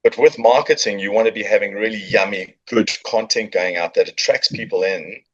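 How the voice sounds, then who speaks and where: South African man, chatty and lowish. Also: brisk, husky, muffled recording. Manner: chatty, joking, warm storytelling with fillers. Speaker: podcast guest, business owner. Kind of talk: casual interview chat. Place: coworking space.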